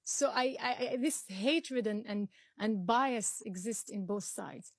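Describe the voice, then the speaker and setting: A woman's voice: on the high side, slow pace, dry recording, clean studio sound. Earnest woman from Israel, Arabic native. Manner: earnest, many restarts and fillers. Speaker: interview guest. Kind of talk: conversational interview answers.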